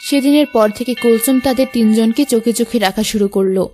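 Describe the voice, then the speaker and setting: A woman's voice: fairly high pitch, quick pace, warm tone, faint music behind. A woman reading scripted narration for a cartoon story.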